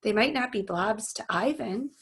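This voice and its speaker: A kind, cheerful storybook girl, voiced by a woman reader, friendly and light in manner. The voice has a little huskiness and fry in it. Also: fairly high pitch, animated, moderate pace. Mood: fond. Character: kind, cheerful young girl who encourages the gorilla.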